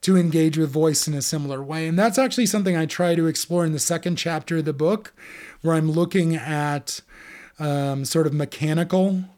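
A man's voice: fairly high pitch, brisk, a little mellow. A man, an author being interviewed, explaining academic ideas in a thoughtful, conversational way with frequent fillers.

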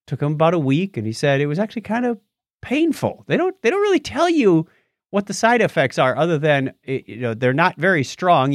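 A man's voice: mid-range voice, no echo, studio quality. A man co-hosting a podcast, explaining news items in a casual, jokey, conversational way. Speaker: podcast co-host.

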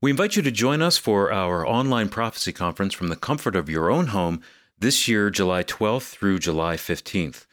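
Low-voiced man reading an announcement. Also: animated, moderate pace, fairly bright tone, no room sound, clean studio sound, soft background music. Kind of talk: scripted announcement.

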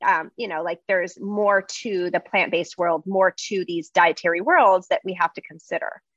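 A woman host in a casual conversation, chatty and animated, with lots of fillers. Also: lowish voice, moderate pace, dry recording, highs cut off. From United States.

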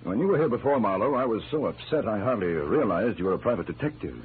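Polite, anxious gentleman, animated voice acted by a man. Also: fairly low pitch, quick pace, natural voice. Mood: anxious, earnest. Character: anxious, polite, well-to-do man questioned by detective. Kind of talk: 1940s radio acting. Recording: muffled old radio recording.

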